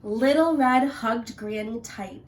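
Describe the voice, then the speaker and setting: A woman teacher reading a children's story aloud in an animated narrating style. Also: on the high side, slow, far from the mic, low-quality recording.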